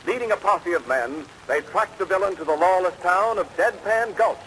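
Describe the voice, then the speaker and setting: A man's voice: sits high, lively intonation, normal speed, fairly bright tone. A man narrating a comic western adventure as a dramatic 1940s radio announcer. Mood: dramatic, urgent. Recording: noisy old radio recording under rain.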